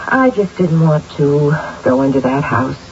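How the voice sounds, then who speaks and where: A woman's voice: low-pitched, expressive, unhurried. An actress playing a lonely, guilt-ridden, sleepless middle-aged mother in a theatrical, fretful 1970s radio drama style. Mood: weary, insistent. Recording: dull, faintly noisy vintage radio recording.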